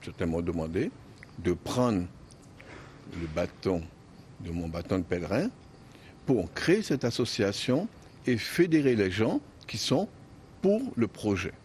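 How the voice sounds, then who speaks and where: A man who heads an association, giving a deliberate, emphatic interview statement. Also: fairly low pitch, sing-song, a little mellow, slight background noise. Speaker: association president.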